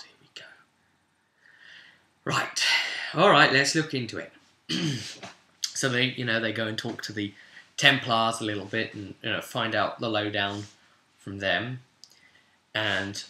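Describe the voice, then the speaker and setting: Echoey room, british guy telling his tabletop game story, really bright sharp voice, chatty n slangy. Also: mid-range voice. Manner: animated, chatty, cheeky, slangy. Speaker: game master recapping a tabletop session. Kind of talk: casual storytelling recap of a role-play game. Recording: roomy, echoing room sound.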